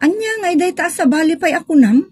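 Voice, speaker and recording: An actress with a northern Philippine accent playing an inquisitive, protective daughter in an Ilocano comedy drama. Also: female voice, very high voice, lively intonation, quick pace, darkish tone, dry recording, studio quality. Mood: questioning, defensive. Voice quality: husky. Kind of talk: Ilocano comedy radio-style drama acting.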